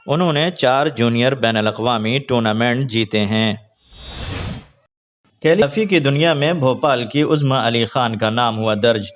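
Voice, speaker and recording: Dull-sounding man reading formal news. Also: mid-range voice. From India. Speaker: news presenter. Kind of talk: scripted news reading in formal Urdu register. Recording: dull lo-fi online video audio.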